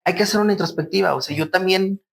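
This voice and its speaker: Mexican man in his thirties, higher-pitched. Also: fast, gravelly, a bit bright. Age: 30s. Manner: explanatory, uses everyday examples, chuckles. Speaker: guest doctor. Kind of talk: podcast interview conversation.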